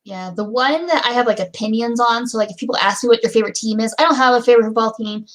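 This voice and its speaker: A woman's voice: fairly high pitch, quick pace, a bit bright. An American woman hosting a casual livestream chat, rambling along and amused at herself. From Utah, United States. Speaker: livestream host.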